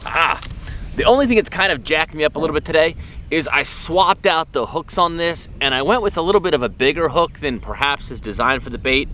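A man's voice: on the high side, expressive, fairly quick, bright, forceful tone. Folksy man vlogging over faint outdoor noise. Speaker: fishing show host. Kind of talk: casual enthusiastic vlog talk. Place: open boat on a lake.

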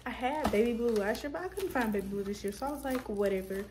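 A woman's voice: a little high, fast, background noise. Chatty young woman rambling to camera. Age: young adult. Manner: rambling, self-correcting, chatty with viewers. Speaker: vlogger. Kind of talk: casual chat to camera. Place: small room at home, indoors.

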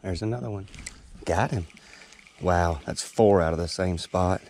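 Low gravelly man muttering while fishing. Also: unhurried, slight background noise. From Tennessee. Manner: quiet, muttered running comments to himself. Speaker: fishing guide. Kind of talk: casual fishing commentary. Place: kayak on a river outdoors. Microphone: head-mounted action camera.

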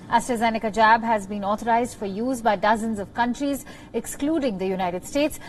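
A woman's voice: on the high side, slight background noise, rough recording. A woman presenter reading scripted news narration in a formal register.

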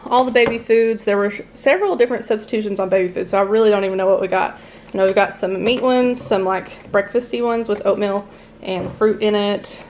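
A woman's voice: mid-range voice, brisk, darkish tone. Woman, 30s, home vlogger; rambling, easygoing, laughs a lot; faint room noise. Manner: chatty, laughs often, rambling asides. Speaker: family vlogger. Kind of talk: casual grocery haul chat. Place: home dining room, indoors.

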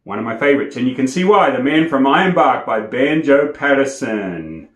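A man's voice: mid-range voice, moderate pace. An older man in his sixties, a storyteller presenting and reciting poetry in a theatrical way. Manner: animated, theatrical. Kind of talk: dramatic poetry recitation with casual introduction. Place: indoor room against plain wall, facing camera. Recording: roomy indoor echo.

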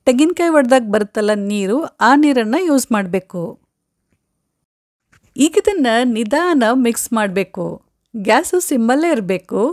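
Lively woman teaching a recipe. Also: a little high, moderate pace, long pauses, dry recording, studio quality. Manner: friendly, clear and instructive. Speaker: cooking channel host. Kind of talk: step-by-step recipe instructions. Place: home kitchen, indoors. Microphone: lapel mic.

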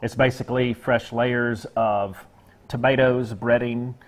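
Thirties male chef, relaxed guest on a local TV segment, talking through dishes. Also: medium pitch, a little slow, a little hiss or hum. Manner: easygoing, lists dishes with frequent "uh" fillers. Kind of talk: casual TV interview. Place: TV studio kitchen set.

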